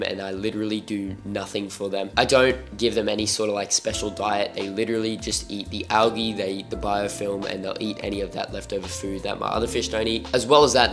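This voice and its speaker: A young Australian man hosting an aquarium channel, talking casually to camera in a room full of fish tanks, his voice a little off as he gets over being sick. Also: on the low side. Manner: laid-back, filler-heavy ("like", "literally", "super"). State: recovering from illness, voice a bit off.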